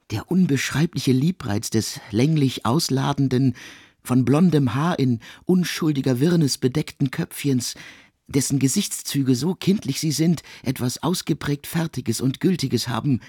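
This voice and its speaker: A man playing a cultured, intellectual composer uncle in a radio drama, speaking in a literary, measured way. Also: medium pitch, darkish tone, slightly rough, dry recording, clean studio sound.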